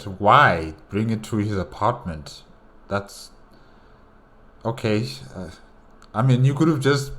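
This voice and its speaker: Man, reaction host, very deep voice, musing, hesitant, casual.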